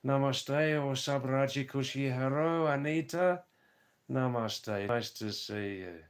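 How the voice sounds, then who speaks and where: Slow, hoarse man on a live stream. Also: medium pitch. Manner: halting, with many fillers, addresses viewers by name. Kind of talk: live stream chat reading comments. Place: hill village in Nepal.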